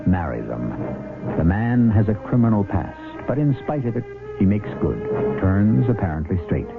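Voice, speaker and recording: Guy narrating old radio mystery, dramatic, pitch swings a lot, muffled sound. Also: big pitch swings. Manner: suspenseful, deliberate, dramatic. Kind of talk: theatrical scripted narration, 1970s radio. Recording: muffled vintage radio broadcast. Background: music.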